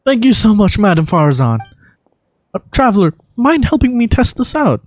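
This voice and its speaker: A man voicing a friendly, excitable young male inventor in a game, eager and expressive. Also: sits high, normal speed, no echo, pitched above the performer's own voice. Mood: grateful, excited. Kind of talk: game character voice acting.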